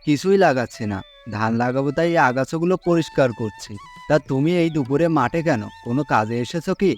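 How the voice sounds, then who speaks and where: A man's voice: mid-range voice, brisk, darkish tone, natural voice. A man playing a poor, trusting farmer in a cartoon folk tale, speaking earnestly and deferentially. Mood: humble, earnest. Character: poor, gullible, humble male farmer, trusting and hesitant. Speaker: cartoon voice actor. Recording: music under the voice.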